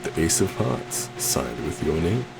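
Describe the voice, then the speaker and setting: A suave, possessive stage magician acted by a man in an ASMR roleplay, speaking very low with lively, swooping intonation. He is courtly and theatrical, sweetly menacing as he coaxes, over a clear steady background hum. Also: measured pace, exaggerated voice. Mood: playful showmanship. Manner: theatrical, courtly, soft coaxing with terms of endearment.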